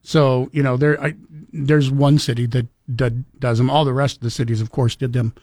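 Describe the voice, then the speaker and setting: A man's voice: mid-range voice, level tone, moderate pace, a little mellow, no room sound, clean studio sound. A man co-hosting a casual morning radio show, chatty and storytelling, laughing often. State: recovering from lost voice.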